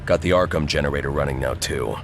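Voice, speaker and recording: An actor playing a stern, grim masked crimefighter in a video game, with a gravelly voice and clipped, deadpan delivery. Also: male voice, quick pace. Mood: focused. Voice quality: very deep, gravelly. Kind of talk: video game cutscene voice acting. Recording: loud music under the voice.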